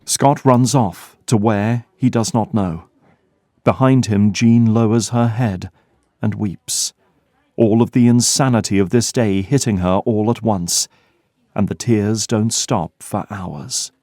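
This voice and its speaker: A man's voice: fairly low pitch, takes it slowly, mellow, dry recording, studio quality. A man narrating an audio drama from a script in the role of storyteller.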